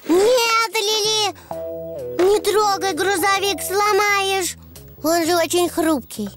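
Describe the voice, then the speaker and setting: A performer voicing a young boy donkey in a children's cartoon, childlike and possessive, with slow, simple phrasing. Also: very high-pitched. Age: young child character. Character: little boy donkey, playful, possessive toddler. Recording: music behind the voice.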